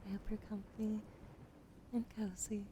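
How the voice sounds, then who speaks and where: Young woman, soft ASMR whisper, allergy cough, apologetic, mid pitch, noisy background. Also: level tone, unhurried. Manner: apologetic, thanks followers by name. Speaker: ASMR streamer.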